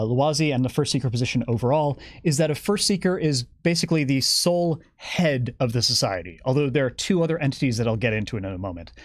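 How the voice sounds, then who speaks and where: A man's voice: a little high, dry recording. Male game developer guest, conversational explainer, wry and keen, very dry close sound. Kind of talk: casual explanatory chat about a game.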